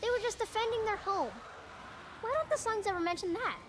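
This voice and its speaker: A woman's voice: extremely high pitch, brisk. A woman voicing a brave, imaginative little boy hero in a video game, theatrical and childlike, with music behind. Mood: earnest wondering. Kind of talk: video game character voice acting.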